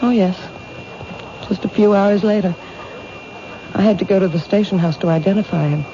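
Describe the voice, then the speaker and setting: A woman's voice: medium pitch, normal speed, mellow, close to an everyday voice. An actress playing a frightened woman witness, hesitant and earnest, in a radio mystery drama. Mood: haunted. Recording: muffled, noisy old radio drama recording.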